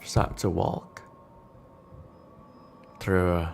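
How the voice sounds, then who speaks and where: A man acts as game master on a storytelling stream, improvising as he goes. His pace is very unhurried and halting, and he often repeats a word or phrase before moving on. He shifts between narrating the scene and chatting with his viewers. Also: low voice, level tone, vocal fry, darkish tone, faint music behind.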